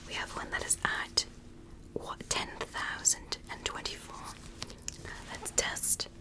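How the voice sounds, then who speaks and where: A woman whispering softly and breathily in a gentle, reassuring ASMR roleplay as a doctor giving an exam. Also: takes it slowly. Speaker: ASMR performer playing an ear doctor.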